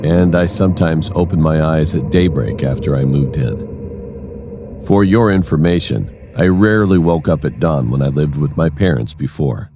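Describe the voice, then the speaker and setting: A man's voice: extremely low pitch, moderate pace, mellow, slight background noise. A man reading a scripted first-person horror story as its narrator.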